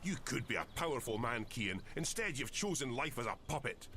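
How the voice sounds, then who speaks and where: An actor playing a stern, cynical older sword master who scolds bluntly in a fantasy game. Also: male voice, mid-range voice, sing-song, fairly quick, sharp tone, slight background noise. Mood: scornful, commanding.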